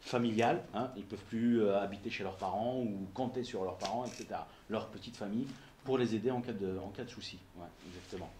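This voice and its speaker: A man's voice: on the low side, fast talker, a little mellow, reverberant, a little hiss or hum. A man who emigrated to France, hosting an interview in a casual, hesitant way, often saying "on va dire". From abroad, emigrated to France. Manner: informal, uses fillers like "on va dire", hesitant. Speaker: interviewer, podcast host.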